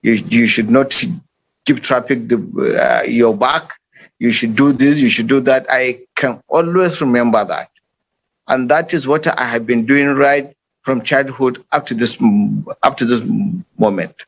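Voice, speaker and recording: Slow-spoken Nigerian man. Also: medium pitch, low-quality recording. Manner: unhurried, with frequent uh fillers and restarts. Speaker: panel guest. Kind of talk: online panel discussion.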